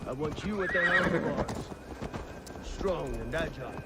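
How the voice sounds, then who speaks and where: An actor playing a blunt, battle-hardened Viking war leader in a video game, gravelly and commanding. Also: male voice, a little high, lively intonation, moderate pace, very noisy.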